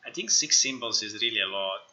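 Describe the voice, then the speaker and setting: A man with a very bright, forceful voice talks casually through his work on a live stream. He is a non-native English speaker who mutters to himself, repeats phrases and swears freely. The recording sounds somewhat dull and lo-fi. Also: lowish voice, lively intonation, a little fast. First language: not English. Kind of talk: casual live stream chat, thinking aloud. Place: indoors at a computer.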